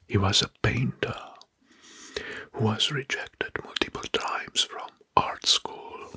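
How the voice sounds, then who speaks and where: A man whispering an ASMR history narration into a close microphone, hushed and deliberate, softly repeating key phrases. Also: on the low side, dry recording. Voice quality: breathy, mostly unvoiced whisper. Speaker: ASMR host.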